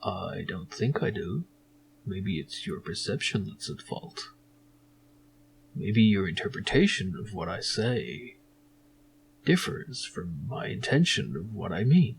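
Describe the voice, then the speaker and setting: An enigmatic, serene stranger from an adventure game, performed by a man. The voice is hushed and slightly husky, with slow, measured riddles delivered calmly. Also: fairly low pitch, heavily acted, rough recording. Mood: serene, cryptic.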